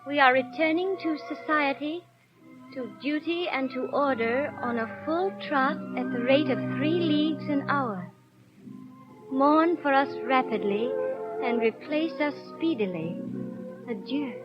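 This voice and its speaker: A woman's voice: high-pitched, measured pace. A woman playing a naive, eager young French working woman in a 1930s radio drama. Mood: reading aloud. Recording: muffled 1930s radio broadcast. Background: music.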